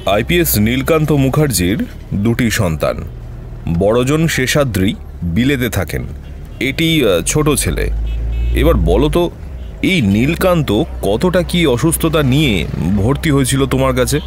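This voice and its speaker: A man's voice: medium pitch, big pitch swings, measured pace, pauses often, a little hiss or hum. A man acting a troubled middle-aged police officer working a baffling case in an audio detective story. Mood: grave, explaining. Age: 40s.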